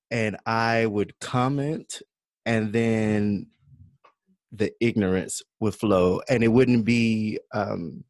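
A man from Louisiana speaking as a podcast guest, thoughtful and hesitant, with pauses between his thoughts. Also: fairly low pitch, no room sound. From Baton Rouge, Louisiana. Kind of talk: casual discussion.

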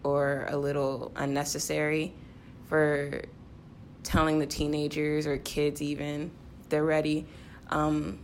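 Female podcast participant. Deeper pitch, sincere, feeling out her phrasing, faint room noise. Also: monotone, measured pace. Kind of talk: reflective group conversation.

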